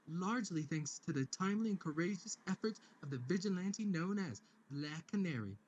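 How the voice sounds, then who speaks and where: A man voicing a formal TV news anchor reading an urgent bulletin in a measured way. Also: on the high side, natural voice, rough recording.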